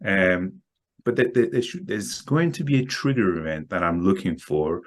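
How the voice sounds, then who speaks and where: Deep-voiced man, halting speech. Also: animated. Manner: halting, repeats words often. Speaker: guest market analyst. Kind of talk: casual interview.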